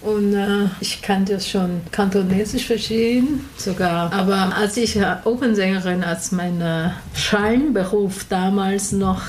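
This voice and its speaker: Woman host, Chinese accent, mid pitch, faint background noise, speaks broken but lively German. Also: a little slow, hardly any pauses. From Taiwan. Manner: broken German, animated, laughs often. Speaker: radio show host, interviewee. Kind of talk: casual interview chat.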